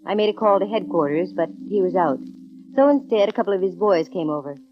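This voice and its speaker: Actress doing wisecracking 40s radio girl detective, brisk n slangy, muffled old recording. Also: fairly low pitch, lively intonation, lightly acted. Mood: wry, matter-of-fact. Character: wisecracking, quick-witted female private eye, confident and brisk. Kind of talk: 1940s radio detective drama acting, first-person narration. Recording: dull vintage radio recording with music.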